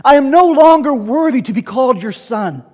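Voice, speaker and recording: A man's voice: very high voice, expressive, normal speed, voice pushed up. A man playing a penitent, desperate young prodigal son in a dramatic Bible reading, pleading. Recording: echoey church hall, long reverb.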